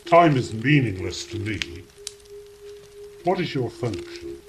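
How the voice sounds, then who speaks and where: Slow, solemn guardian angel, acted by a man. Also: fairly low pitch, big pitch swings, a little hiss or hum. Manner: stately, formal. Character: solemn, literal-minded cherub guard of Eden. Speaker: stage actor. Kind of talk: theatrical stage drama acting.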